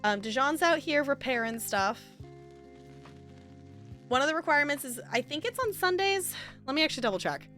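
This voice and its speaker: Girl streamer chatting away over a sims-style game, kinda high voice, bit of music in the back. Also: big pitch swings, moderate pace, pauses often, pushed and bright. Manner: chatty, rambling, talks to viewers and game characters. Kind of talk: casual live stream commentary on a life simulation game.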